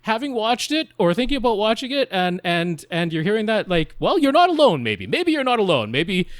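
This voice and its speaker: A man co-hosting a podcast in casual, opinionated film chat, talking in an animated, rambling, self-deprecating way. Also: sits high, fairly quick, dry recording. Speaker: podcast co-host.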